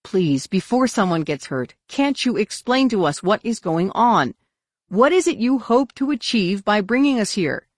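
A woman's voice: medium pitch, big pitch swings, a little slow, dry recording, studio quality, pitched above the performer's own voice. A woman voicing an earnest, exasperated young male knight in an audiobook, urgent and pleading.

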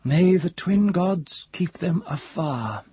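A man's voice: fairly high pitch, lively intonation, takes it slowly, dark tone, husky, dry recording, voice pushed up, heavily acted. A man voicing a grave, knowing woman in a story, telling of marvels with solemn awe. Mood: uneasy prayer.

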